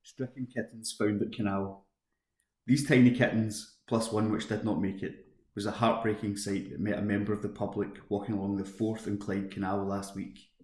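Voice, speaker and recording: Male volunteer news reader, flat, even intonation, scripted delivery in a roomy home recording. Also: lowish voice, normal speed. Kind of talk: scripted news reading for a talking newspaper.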